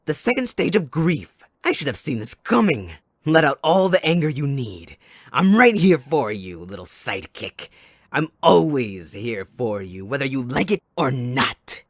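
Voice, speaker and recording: Mocking villain, possessive, sadistic, drawn-out slow taunts, highly animated intonation, theatrical audio roleplay. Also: male voice, no room sound, studio quality. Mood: taunting, possessive. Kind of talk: ASMR audio roleplay, dramatic monologue.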